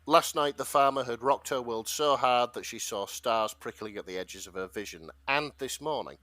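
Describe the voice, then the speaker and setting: A man's voice: mid-range voice, moderate pace, pushed and bright, no echo. A man talking casually and wryly as a podcast co-host, summarising and reading out a story in an informal way. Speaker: podcast co-host presenting a fan fiction story.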